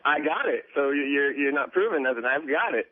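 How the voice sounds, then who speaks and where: An American man in his twenties calling a radio show, arguing defiantly and haltingly, repeating himself. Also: fairly high pitch, animated, no room sound. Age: 20s. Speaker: radio phone-in caller. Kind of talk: argumentative phone-in chat. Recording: tinny phone line on radio.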